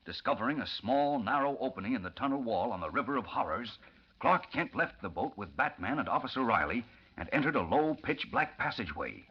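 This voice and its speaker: A man's voice: medium pitch, very animated, a little fast, slightly rough, lo-fi sound. A man narrating a vintage radio drama in an emphatic, suspenseful scripted style.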